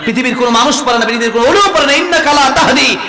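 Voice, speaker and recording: Bangladeshi guy preaching waz, really high forceful voice, exhorting with questions, big echoey reverb on the pa. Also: rapid-fire. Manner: forceful, exhorting, repeats questions to rouse listeners. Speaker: Islamic preacher. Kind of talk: impassioned waz sermon with call-and-response questions and Arabic recitation. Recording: echoing PA with long reverb.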